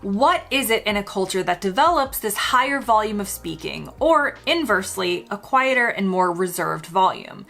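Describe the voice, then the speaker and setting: A woman from the United States giving scripted narration as a video essayist, explaining in a clear, measured way. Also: medium pitch, lively intonation, fairly bright tone.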